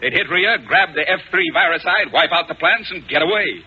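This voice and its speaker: An actor playing a grave, worried space-adventure colonel, middle-aged and clipped, in 1950s radio style. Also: male voice, on the high side, expressive, moderate pace, pushed and bright, slightly rough, full character voice. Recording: muffled, noisy 1950s radio recording.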